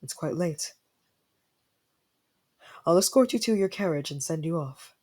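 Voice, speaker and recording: A woman playing a proud young ice prince in an ASMR fantasy roleplay, haughty and stammering when flustered, then softening. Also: low voice, expressive, a little slow, husky, heavily acted. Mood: composed, softening.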